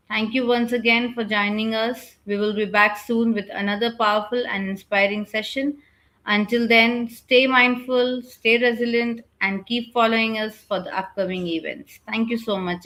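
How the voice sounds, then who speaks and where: A woman giving an earnest, measured vote of thanks at the close of an online webinar. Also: mid-range voice, a bit bright. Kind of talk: read formal thank-you speech. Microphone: webinar video call.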